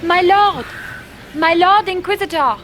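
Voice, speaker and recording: A woman's voice: very high-pitched, a little slow, fairly bright tone, slight background noise. An actress playing an eager, deferential young noblewoman with a formal, gushing courtly manner in a fantasy video game. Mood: urgent, eager.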